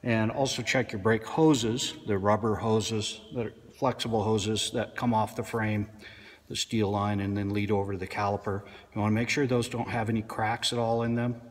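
A man's voice: lowish voice, fairly even intonation, normal speed. A man in his forties, a car mechanic, explaining brake service in a casual how-to style, filming himself on a phone in his repair shop. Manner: plain-spoken, frequent "uh" fillers. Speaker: auto mechanic. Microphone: handheld phone camera at arm's length. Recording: reverberant shop room.

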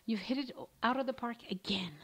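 A woman's voice: fairly high pitch, brisk. A woman hosting a travel podcast, chatting casually, laughing often and stumbling over listener names as she reads reviews aloud. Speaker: podcast host.

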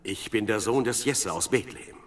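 Man playing a modest, husky young shepherd. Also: on the low side, normal speed, natural voice. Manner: polite, earnest. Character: young shepherd harpist, modest, eager to please. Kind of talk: German Bible audio drama acting.

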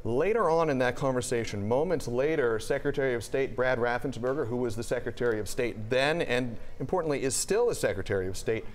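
An American man in his thirties explaining news live on air as a TV reporter, in a measured, careful manner. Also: medium pitch, animated. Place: television news studio.

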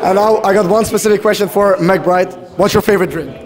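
A man hosting a vlog, interviewing people at a busy event with quick casual questions and frequent laughs. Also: sits high, fairly even intonation, very noisy. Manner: upbeat, laughs often. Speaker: vlog host interviewing attendees. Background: busy crowd chatter.

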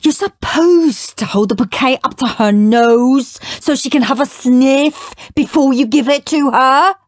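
A woman's voice: high voice, a little slow, dry recording, studio quality, voice pushed up. A woman voicing a bossy, scornful, mischievous young boy in an emphatic, taunting way for a children's storybook.

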